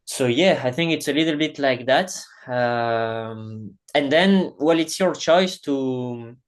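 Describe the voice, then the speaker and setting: A man's voice: mid-range voice, slow. A young adult man from Belgium, a padel player answering interview questions casually with non-native phrasing and frequent restarts. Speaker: professional padel player, guest.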